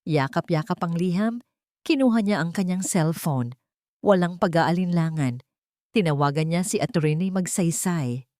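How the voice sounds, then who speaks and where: A woman's voice: lowish voice, normal speed, a little mellow, no room sound, clean studio sound. A woman reading a dramatic scripted story as its narrator.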